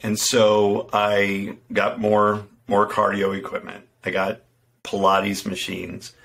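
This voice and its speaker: A middle-aged man with a low, gravelly voice tells his business story on a podcast, earnest and self-deprecating, often restarting his sentences. He speaks into a studio microphone in a room at home, with faint ambience behind him. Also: completely flat delivery, slow, a bit bright, a little hiss or hum. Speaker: podcast guest, fitness entrepreneur. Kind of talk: casual storytelling interview. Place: home room with window, indoors. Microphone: studio microphone in front.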